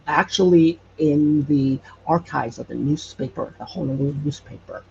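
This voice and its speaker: A man telling personal stories conversationally as a podcast guest, hesitant and often pausing mid-phrase. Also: on the high side, gravelly, a little mellow. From Hawaii. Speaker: podcast guest, paranormal storyteller and investigator. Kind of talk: conversational personal storytelling in an interview. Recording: muffled lo-fi with faint background noise.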